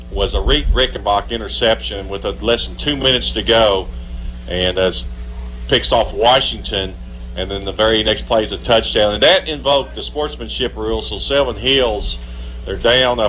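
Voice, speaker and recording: A man's voice: on the low side, bright, forceful tone. A man giving post-game football commentary as a radio broadcaster. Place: live broadcast at a football stadium. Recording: noisy live sports broadcast with hum.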